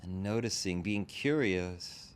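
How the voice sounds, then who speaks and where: A man leading a guided meditation as a teacher, gently instructing in short, spaced phrases. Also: takes it slowly. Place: group meditation session in a room. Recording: background rumble and noise.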